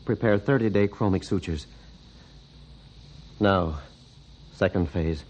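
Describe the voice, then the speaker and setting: An actor playing a commanding, exacting surgeon in old-time radio melodrama style. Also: male voice, low-pitched, slow, darkish tone, highs cut off. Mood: brisk, commanding. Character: commanding, exacting male surgeon burdened by guilt.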